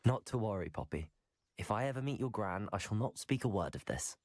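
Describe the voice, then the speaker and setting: A man playing a polite, composed, brave teenage student wizard in a video game, with a British accent and a courteous, measured manner. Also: fairly low pitch, expressive, darkish tone, no echo, studio quality. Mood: calm, wry. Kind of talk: video game dialogue acting. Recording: music bed behind the voice.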